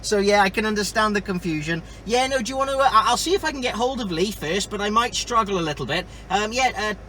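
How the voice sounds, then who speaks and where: A man's voice: sits high, animated, fast talker, sharp tone. A man running a CB radio net, chatting casually and reading out call signs over the radio. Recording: very noisy CB radio with clicks.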